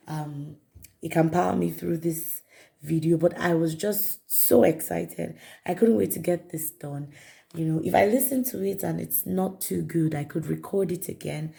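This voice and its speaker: A woman reviewing perfumes in a chatty vlog, her voice raspy and hoarse from a cold. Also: low-pitched, mellow. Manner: chatty, repeats herself, many fillers. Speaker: perfume vlogger. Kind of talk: casual product review to camera.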